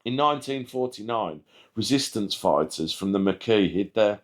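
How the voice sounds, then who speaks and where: Low-pitched man reading history narration. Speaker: channel host and narrator. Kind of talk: scripted historical narration read aloud.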